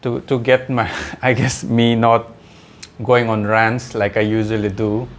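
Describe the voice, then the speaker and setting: A man's voice: medium pitch, completely flat delivery, measured pace, slight background noise. A man in his thirties from India, a native Adi speaker, talking in a rambling, reflective vlog monologue full of fillers and restarts. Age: 30s. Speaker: vlogger.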